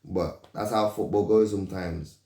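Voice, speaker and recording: A man's voice: sits low, fairly even intonation, normal speed, dark tone, roomy sound. A man whose first language is not English, hosting his own football channel, talking casually in a rambling, slangy way with many restarts, into a desk mic at home. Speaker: football pundit, channel host. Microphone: desk mic, loose.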